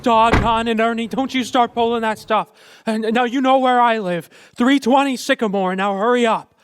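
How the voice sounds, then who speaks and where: A man's voice: very high voice, fairly even intonation, moderate pace, noisy background. A man acting in a radio play as a bewildered, frantic family man who stammers and pleads. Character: frantic, bewildered everyman husband and father.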